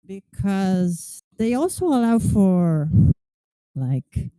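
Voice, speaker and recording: Brazilian woman with a dark mellow voice. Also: medium pitch, big pitch swings, extremely slow, clean tone, no room sound, low-quality recording. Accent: Brazilian Portuguese. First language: Portuguese. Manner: chatty, many "like" fillers. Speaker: community tester. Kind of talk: casual demo on a call.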